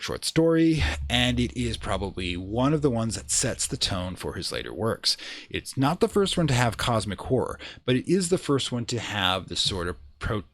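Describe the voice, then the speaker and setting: A man's voice: fairly low pitch, no echo. A man hosting a live radio play, giving an informal, wry introduction in an explanatory way.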